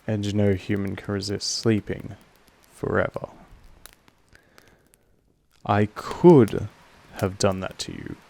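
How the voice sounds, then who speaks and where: A man voicing a possessive, patient, commanding vampire master in a roleplay audio monologue, speaking in a slow, deliberate way with weighty pauses. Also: flat delivery, darkish tone. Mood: controlled, quietly menacing. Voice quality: low, creaky. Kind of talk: scripted roleplay audio monologue addressed to the listener.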